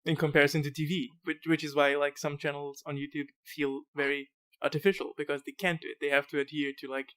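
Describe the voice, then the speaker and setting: A man hosting a casual podcast interview, rambling and halting through his thoughts. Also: a little high, level tone, a bit bright. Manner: rambling, halting, lots of fillers and restarts. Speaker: podcast host.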